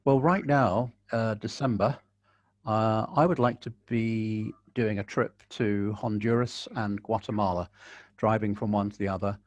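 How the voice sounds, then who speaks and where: A man with a low, soothing voice speaks in a calm, measured way, dry and a little wry. He sounds close and dry on a video call, with no room echo. He is answering questions in a relaxed webinar. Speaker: guest conservationist. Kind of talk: webinar Q&A, giving advice.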